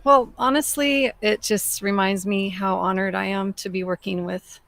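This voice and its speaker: A woman band member answering interview questions in a halting way, with many fillers. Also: mid-range voice, steady tone, creaky, dry recording. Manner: warm, halting, full of fillers.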